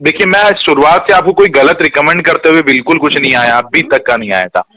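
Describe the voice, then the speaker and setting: A man talks very quickly on a phone call, his voice a little on the high side. He is a salesman placating a customer, polite and defensive, often cutting in. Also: sharp tone. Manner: polite, defensive, repeatedly interjecting. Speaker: car sales executive.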